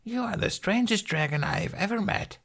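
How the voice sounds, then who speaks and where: Man voicing a raspy, haughty female dragon. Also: high voice, expressive, brisk, a bit bright, voice pushed up, full character voice. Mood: puzzled scorn. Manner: formal, imperious speech. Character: proud, haughty, blunt female dragon, wild and territorial.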